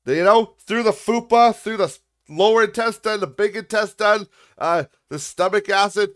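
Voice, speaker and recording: A man's voice: sits high, normal speed. A young man with a nasal voice hosting a solo podcast, ranting with exasperation, profanity and lots of restarts. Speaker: podcast host.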